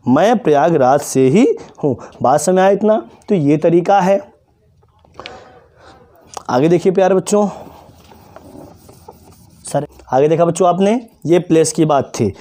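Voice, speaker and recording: A male teacher giving a classroom lesson to children, asking questions and answering them himself, and slowly spelling out example phrases. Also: on the high side, mellow. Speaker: English teacher.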